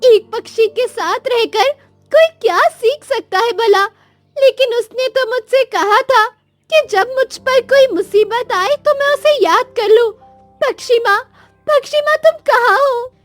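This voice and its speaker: Woman voicing a very high, plaintive young orphan bride. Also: unhurried, no echo. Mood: worried, pleading. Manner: plaintive, pleading. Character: distressed young orphan daughter-in-law, meek, pleading, tearful. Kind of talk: cartoon moral story acting.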